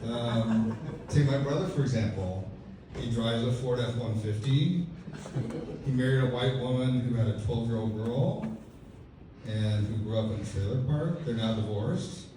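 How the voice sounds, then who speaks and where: A man's voice: medium pitch, normal speed, background noise. Man in his fifties doing club stand-up. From Texas, USA. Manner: dry, self-deprecating storytelling with conversational fillers. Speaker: stand-up comedian. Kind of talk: stand-up comedy set. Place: comedy club stage. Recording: echoey club audio from a distant mic. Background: audience laughter.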